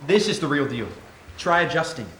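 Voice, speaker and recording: Lively, charming male scientist played by a man. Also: a little high, normal speed. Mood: teasing, flirtatious. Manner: playful, teasing, theatrical. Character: charming, flirtatious, teasing male doctor in a Martian colony. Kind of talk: staged musical reading, sci-fi dialogue. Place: theatre stage. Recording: roomy theatre recording with faint noise.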